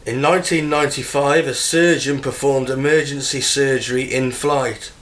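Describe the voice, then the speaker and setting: A man's voice: mid-range voice, fairly even intonation, moderate pace, a bit bright. Matter-of-fact man reading out trivia. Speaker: podcast co-host.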